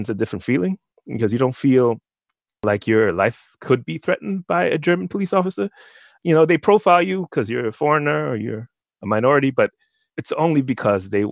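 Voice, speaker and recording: Guy, lowish voice, chatting through a personal story on a panel, super dry sound. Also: dry recording.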